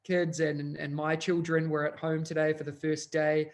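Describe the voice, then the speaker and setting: Higher-voiced man presenting, lots of fillers. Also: completely flat delivery, normal speed. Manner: fluent but full of uh and um fillers. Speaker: education consultant and host. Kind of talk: conference presentation.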